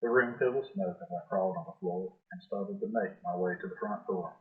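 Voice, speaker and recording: A man reading a rhyming children's picture book aloud in a measured, sing-song storytelling style. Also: medium pitch, sing-song. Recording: roomy echo, thin phone-like sound.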